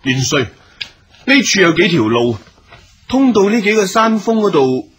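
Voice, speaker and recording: A man's voice: high-pitched, big pitch swings, a little slow, a bit bright, slightly rough, full character voice. A male actor playing a loyal, brave junior general in a period radio drama, earnest and deferential in Hong Kong Cantonese. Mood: deferential, attentive. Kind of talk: theatrical radio drama acting.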